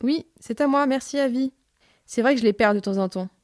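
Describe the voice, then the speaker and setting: Woman playing a fond, high-voiced old robot friend. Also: fairly quick, a little mellow, no echo, clean studio sound, natural voice. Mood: fond, chatty. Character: Donna, affectionate aging female robot friend with rusting parts. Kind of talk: French audio fiction drama.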